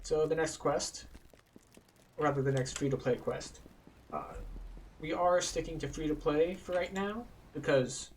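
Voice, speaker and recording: A man's voice: fairly high pitch, unhurried, far from the mic, slight background noise. A man giving casual, explanatory gameplay commentary as a methodical tour guide. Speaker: video game tour guide, player narrating a playthrough. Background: game music.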